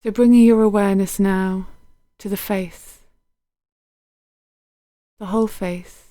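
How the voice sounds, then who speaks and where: A woman guiding a body scan meditation in a gentle, unhurried, soothing manner, letting her phrases end softly. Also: mid-range voice, steady tone, dry recording, studio quality. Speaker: meditation guide.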